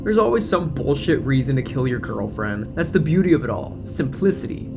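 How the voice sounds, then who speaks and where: A man voicing an excitable teenage know-it-all movie geek and video clerk, lecturing emphatically in an audio drama. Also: medium pitch, fairly quick, vocal fry, music in the background. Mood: excited lecturing.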